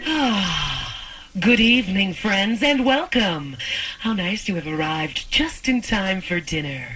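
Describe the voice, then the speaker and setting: A woman's voice: mid-range voice, sing-song, slow, fairly bright tone, soft background music. A woman playing a cackling ghost hostess. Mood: mock-gracious. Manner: mock-gracious, cackling. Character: sinister female ghost hostess serving poison. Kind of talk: haunted attraction spiel. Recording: distant with faint noise.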